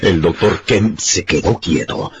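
A man's voice: deep voice, measured pace, background noise. A man narrating a radio drama in a dramatic, scripted storytelling style. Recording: slightly dull sound, music behind.

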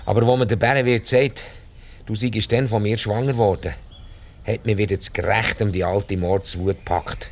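An older actor with a Swiss accent playing an ailing, ruthless rich emigrant in a radio drama, halting, blunt and short of breath. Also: male voice, fairly low pitch, fairly even intonation. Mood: bitter, cold. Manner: halting, blunt, world-weary monologue. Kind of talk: radio drama acting in Swiss-coloured German.

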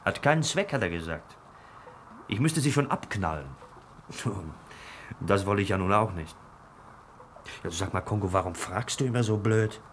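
An actor in a German radio play as an obsessed, restless dreamer, talking in theatrical fragments. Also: male voice, fairly low pitch, expressive, normal speed, pauses often, a little hiss or hum, lightly acted. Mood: brooding. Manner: theatrical, fragmented, brooding delivery. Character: obsessed, restless dreamer retelling a circus story.

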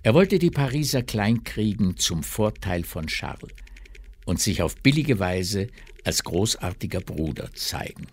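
A man's voice: on the low side, sing-song, measured pace, breathy edge. A man narrating a literary radio drama from a script.

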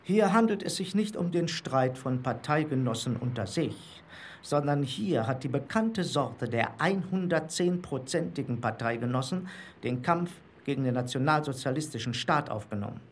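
An actor voices an aggrieved Nazi-era town mayor in a radio docudrama. He is a man of middling pitch, formal and officious, full of rhetorical indignation. There is noise in the background. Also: expressive, normal speed, natural voice. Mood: indignant. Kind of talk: documentary radio play, formal letter reading.

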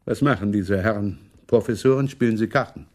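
A man's voice: fairly low pitch, fairly quick, close to an everyday voice. A man acting a money-minded, imperious German prince in a radio play, sardonic and complaining in manner. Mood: impatient.

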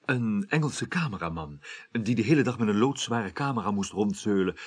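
An actor playing a formal, sanctimonious Calvinist party official in a Dutch radio drama. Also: male voice, on the low side, animated, normal speed, husky. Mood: guarded, explaining. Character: pious, formal male Calvinist party staff member.

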